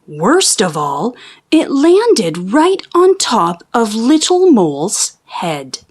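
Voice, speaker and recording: A woman reading a children's storybook aloud in an expressive, theatrical and deliberate storytelling manner. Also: on the high side, slightly rough. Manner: theatrical, deliberate storytelling with drawn-out sound words. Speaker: children's book read-aloud host.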